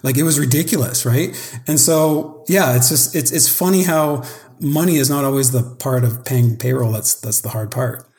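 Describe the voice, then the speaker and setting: Guy on a podcast, kinda hesitant, repeats words, middle pitch that jumps way up at times. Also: moderate pace, darkish tone. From Canada. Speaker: guest, company co-founder. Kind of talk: casual podcast conversation.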